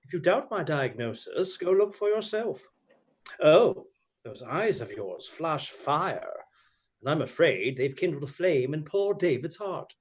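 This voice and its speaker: A man's voice: on the high side, big pitch swings, unhurried, slightly rough, exaggerated voice. A man playing a bold, teasing, roguish outlaw leader in a radio play, with sardonic courtly manners. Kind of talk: theatrical radio play acting.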